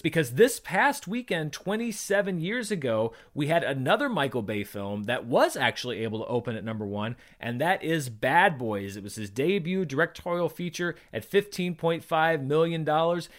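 A man in his thirties hosting a show solo, talking through charts in a chatty, enthusiastic way with wry asides. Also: a little high. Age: 30s. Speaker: podcast host recapping box office. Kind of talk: conversational solo commentary on movie charts.

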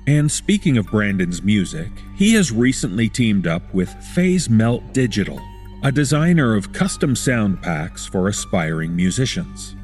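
A man reading scripted narration as a podcast host, in a measured, deliberate way. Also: fairly low pitch, sing-song, a little mellow. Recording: music under the voice. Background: background music.

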